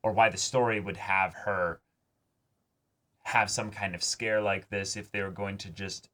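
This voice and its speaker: Low-pitched man chatting about anime. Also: steady tone, a little slow, pushed and bright. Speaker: reaction show co-host. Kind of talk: casual anime episode discussion.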